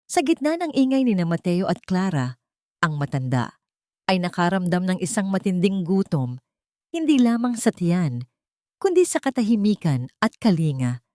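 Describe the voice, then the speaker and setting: Filipino female storyteller for scripted drama narration, slightly low voice, dry studio-like sound. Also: darkish tone, dry recording.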